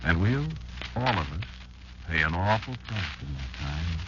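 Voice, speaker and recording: An actor playing an old insect elder who warns his child, telling it deliberately and ominously with dramatic pauses in 1940s radio drama style. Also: low voice, sing-song, background noise, full character voice. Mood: weary, resolute. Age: older. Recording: muffled old 1940s radio recording, loud music.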